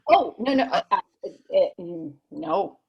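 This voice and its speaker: An actress playing a self-effacing, shy, nerdy woman with a halting, awkward way of talking in a script read. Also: mid-range voice, very animated, creaky, husky, dull audio, exaggerated voice. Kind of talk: table read of a screenplay, romantic banter.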